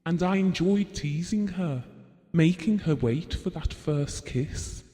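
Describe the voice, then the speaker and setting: An actor playing a gentlemanly, possessive husband who looks back wistfully in an audio drama, measured and reflective. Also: male voice, fairly high pitch. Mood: tender, possessive. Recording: long reverberant hall sound.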